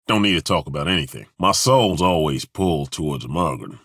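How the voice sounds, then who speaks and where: A rough-edged former temple guard for a fantasy game, his voice sitting extremely low with a husky grain. He talks bluntly with a wry edge and swears casually, yet his delivery rises and falls with lively swings. The studio sound is bone dry. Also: brisk, dry recording, full character voice. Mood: reflective. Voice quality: very deep, commanding voice. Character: gruff, blunt male ex-temple guard fighter. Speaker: companion in a game. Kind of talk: game voice acting. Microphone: studio microphone.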